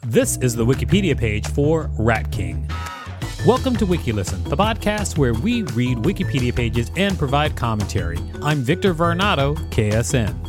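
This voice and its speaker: A man's voice: medium pitch, sing-song, moderate pace, music in the background. A man hosting a podcast, reading an encyclopedia page aloud and commenting with playful, theatrical flair. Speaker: podcast host.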